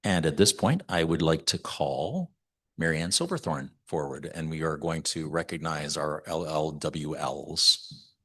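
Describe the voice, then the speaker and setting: A man chairing a church council meeting, reading out names haltingly and repeating words as he goes, in a meeting room. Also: extremely low pitch, expressive, sharp tone, breathy edge. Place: meeting room, also streamed online.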